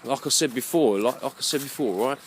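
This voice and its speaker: A man giving a rambling, unscripted monologue in a halting, musing way, often repeating his phrases. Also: mid-range voice, a little hiss or hum. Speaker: vlogger.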